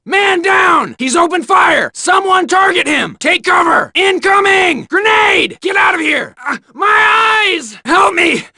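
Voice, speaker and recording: High-pitched, panicky guard soldier. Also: male voice, animated, slow pace, gravelly, few pauses, sharp tone, dry recording, raised voice, studio quality, full character voice. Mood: panicked. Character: alarmed enemy guard soldier calling out warnings. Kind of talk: shouted video game combat barks.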